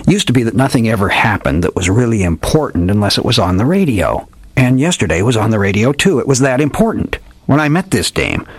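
A man's voice: on the low side, husky, no echo, voice pushed up. A man reading a story aloud, voicing an old male hardboiled detective with theatrical tough-guy slang.

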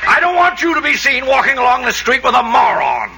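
A man's voice: extremely high pitch, huge pitch swings, a little fast, creaky, exaggerated voice. A man playing a mocking, cantankerous middle-aged father in 1940s radio comedy, blustery and scornful. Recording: noisy vintage radio broadcast.

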